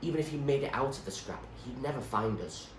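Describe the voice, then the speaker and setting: Young heroine of a storybook, read by a man: questioning, determined, wary, animated pitch, roomy recording. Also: quick pace, lightly acted. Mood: firm. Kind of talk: character dialogue in a storybook reading. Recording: roomy echo, faint background noise.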